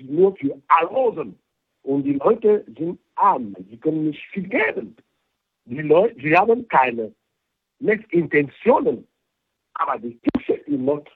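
A man, a bishop from Cameroon, speaking slow, halting as an interview guest. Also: breathy edge. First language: a Cameroonian language. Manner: slow, halting non-native German with lively pitch. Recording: tinny phone line.

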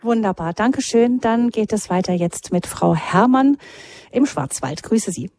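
A woman presenting a radio call-in show, asking clear, polite questions and passing the line to callers. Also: medium pitch, lively intonation, a little slow, a little mellow.

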